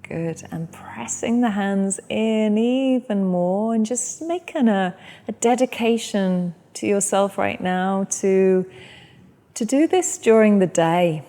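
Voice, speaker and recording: A woman guides a meditation in a soft and gentle way, taking her time between phrases. Her voice sits at an ordinary middle pitch. A long reverb hangs behind her words. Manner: soft, slow and gentle guidance. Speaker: yoga and meditation teacher.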